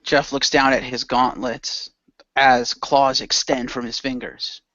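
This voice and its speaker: A man's voice: mid-range voice, level tone, takes it slowly, sharp tone, dry recording, lo-fi sound. A man improvising third-person action narration for his character in an amateur radio play. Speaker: player narrating own character.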